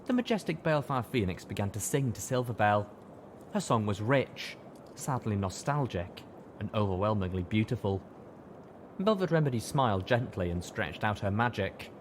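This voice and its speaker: A man's voice: on the low side, very animated, normal speed, a little hiss or hum. A man reading a scripted story aloud as an audiobook narrator. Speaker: audiobook reader.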